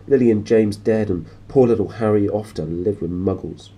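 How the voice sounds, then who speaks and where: A man's voice: lowish voice, normal speed, dark tone, breathy edge. A man voicing a huge, tender-hearted, weepy giant in a storybook, humble and sobbing.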